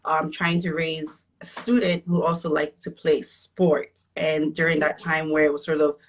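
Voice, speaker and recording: Female host, casual interview, chatty and lively, lower voice. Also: moderate pace, low-quality recording. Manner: lively, chatty, laughs easily. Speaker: podcast host.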